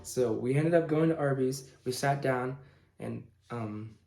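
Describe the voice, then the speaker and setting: A man in his late teens casually telling a personal story in a halting, informal way, pausing mid-sentence. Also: medium pitch, creaky, mellow. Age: young adult, late teens. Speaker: vlogger telling own story. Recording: roomy, echoing indoor sound.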